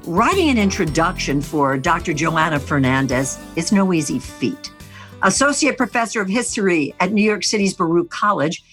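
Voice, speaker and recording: A woman's voice: on the low side, fairly bright tone. A woman hosting an interview show, reading a polished introduction and then asking inviting questions.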